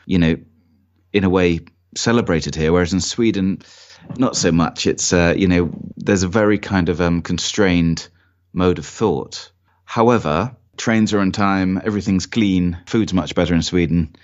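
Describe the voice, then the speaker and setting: A man's voice: deep voice, muffled recording. A British man giving reflective, conversational interview answers as a guest philosopher, pronouncing his words carefully. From Cornwall, Britain. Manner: careful, clear pronunciation.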